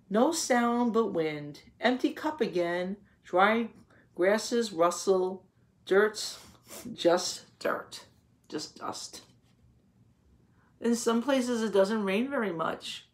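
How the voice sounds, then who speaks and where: A woman reads aloud for young children at a slow pace, with an average-pitched voice. She phrases things deliberately and explains them simply, in the manner of a teacher. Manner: didactic, asking simple rhetorical questions.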